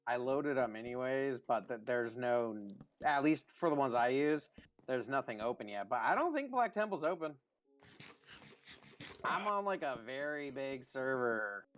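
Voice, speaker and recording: A man's voice: mid-range voice, animated, a little slow. A man from California with a Californian accent, chatting casually with a gaming friend in a rambling, hesitant way. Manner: rambling, hesitant, lots of "dude" and "like". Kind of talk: casual gamer chat.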